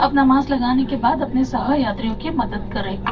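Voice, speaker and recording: Heard from off through a muffled aircraft PA speaker, a woman with an Indian accent speaks in a high voice. She is a cabin supervisor delivering a formal, scripted announcement to passengers. The sound is distant and lacking in detail. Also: brisk, background noise.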